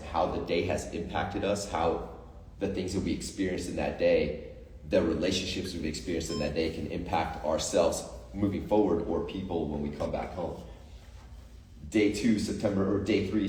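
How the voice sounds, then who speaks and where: Very deep-voiced man hyping a retreat. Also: a little mellow, roomy sound, recorded from a distance, a little hiss or hum. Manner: enthusiastic, filler-heavy, motivational. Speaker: retreat organizer and coach. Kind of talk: casual promotional chat.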